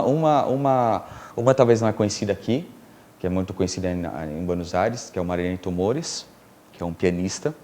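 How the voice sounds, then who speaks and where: A middle-aged man from Brazil, a filmmaker and producer telling stories as a TV interview guest, animated and laughing often, with many fillers and restarts. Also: lowish voice. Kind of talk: casual storytelling in a TV interview.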